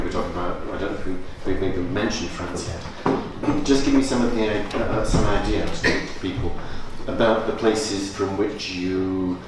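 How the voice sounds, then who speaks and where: A low-voiced man asking questions at a gallery talk, restarting his sentences as he thinks aloud. He sounds far from the mic in a very echoey room, with clear background noise. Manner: halting restarts, thoughtful. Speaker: interviewer. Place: gallery space.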